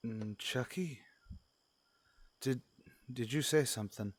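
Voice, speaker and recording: A man playing a patient, concerned father in an old-time radio drama, gentle but firm. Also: medium pitch, takes it slowly, no echo. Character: patient, concerned father talking to his young son.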